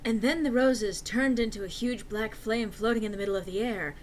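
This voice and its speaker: A woman's voice: a little high, a little fast, slight background noise, low-quality recording. A woman narrating a comedic dramatic reading of fan fiction, with theatrical, exaggerated emphasis.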